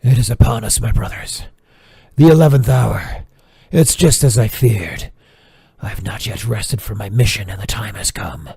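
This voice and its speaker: A man's voice: on the low side, measured pace, creaky, dark tone, husky, full character voice. A man acting a grave, fearful monk in an audio drama, speaking in solemn, formal, dramatic phrases. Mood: grave dread. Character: grave, fearful monk home from missionary work overseas.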